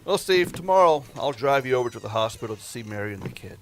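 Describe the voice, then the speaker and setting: An amateur actor playing a placating, hesitant military base official who gets excitable under pressure in a radio play. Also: male voice, medium pitch, fast, a little hiss or hum, natural voice. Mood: soothing.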